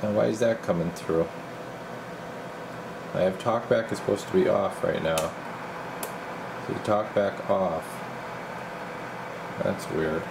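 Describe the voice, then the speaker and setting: A man's voice: low-pitched, fairly even intonation, slow pace, gravelly, pauses often, background noise. A man working as a radio repair technician, casually thinking aloud through a hands-on bench demonstration in informal, muttered steps. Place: indoor electronics repair workbench.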